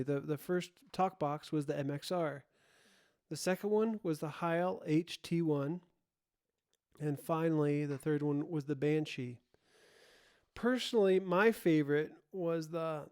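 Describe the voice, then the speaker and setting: Clear, higher-voiced man explaining music gear. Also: darkish tone. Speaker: presenter of a music gear comparison. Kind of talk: casual explanatory demo talk.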